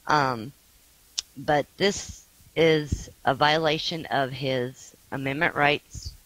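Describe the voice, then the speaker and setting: A hoarse middle-aged woman making an earnest, indignant spoken appeal for support on behalf of a family member. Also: low-pitched, a bit bright, no room sound, poor audio.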